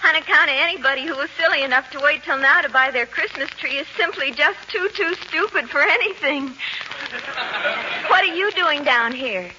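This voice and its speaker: Very bright, high, girlish young woman. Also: normal speed. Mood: chirpy, flustered. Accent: American. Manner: girlish, gushing, muddled, giggly. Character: giddy, scatterbrained, boy-crazy young single woman. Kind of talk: 1940s radio comedy acting. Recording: dull vintage radio recording, faint noise.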